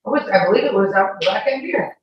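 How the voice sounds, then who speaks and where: Female witness, defensive and insistent, mid pitch, recorded far off in a very echoey room. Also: animated, quick pace, lo-fi sound. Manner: emphatic, defensive. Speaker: witness testifying in court. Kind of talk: courtroom testimony under questioning. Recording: echoey, distant courtroom audio with faint noise.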